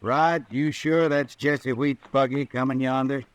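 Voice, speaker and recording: A man's voice: medium pitch, steady tone, a little slow, close to an everyday voice. A man playing a cold-blooded, scheming cowboy killer with a curt, folksy western drawl in an old-time radio western. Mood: sly, gruff.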